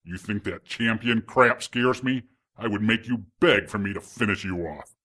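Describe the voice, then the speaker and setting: An actor playing a threatening, taunting demon prisoner with a husky voice in an audio drama. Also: male voice, on the low side, moderate pace, fairly bright tone. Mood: furious threat. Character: menacing demon prisoner hiding under a cloaking spell.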